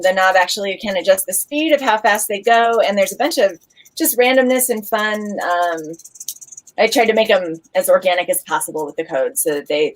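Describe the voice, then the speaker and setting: A woman's voice: medium pitch, poor audio. A woman giving a casual show-and-tell presentation of her own handmade project. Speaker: maker presenting a craft electronics project.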